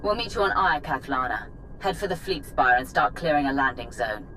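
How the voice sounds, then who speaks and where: A woman's voice: rumbling low, lively intonation, fairly quick, sharp tone, a little hiss or hum, full character voice, poor audio. A woman playing a ruthless, imperious empress in a video game, cold and commanding in manner. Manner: commanding, menacing. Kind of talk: video game cinematic acting.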